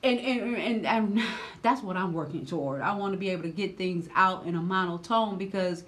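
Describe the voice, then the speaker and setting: A woman in her thirties doing casual, slangy reaction commentary, loud and expressive, her voice swinging high when riled, recorded seated indoors on a camera. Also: moderate pace. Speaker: reaction vlogger.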